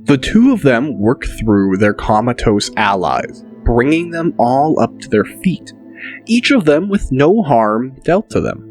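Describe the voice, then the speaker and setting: A man narrating a dramatic audiobook in a deliberate, measured manner. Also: mid-range voice, big pitch swings, a little mellow, soft background music.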